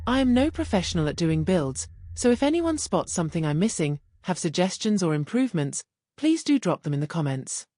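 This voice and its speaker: A woman narrating a scripted gaming build guide in a measured, explanatory way. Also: lowish voice, big pitch swings, dark tone. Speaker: gaming video creator and narrator. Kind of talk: game build guide voice-over. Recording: music behind the voice. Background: music.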